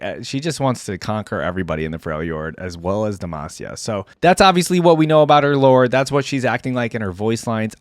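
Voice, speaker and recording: A man's voice: medium pitch, dry recording, studio quality. A man hosting a reaction video, giving chatty, amused commentary as he thinks aloud.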